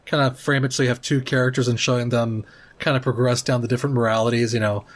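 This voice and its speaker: A man's voice: medium pitch, flat delivery, quick pace. A man co-hosting a gaming podcast, talking in a casual conversational way. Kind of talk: casual chat.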